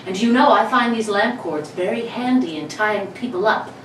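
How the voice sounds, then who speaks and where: A woman's voice: medium pitch, moderate pace, close to an everyday voice. A woman playing a cocky, politely menacing male armed robber in an old-time radio play, with mock-courteous theatrical delivery. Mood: angry, threatening. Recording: roomy, distant mics with faint noise.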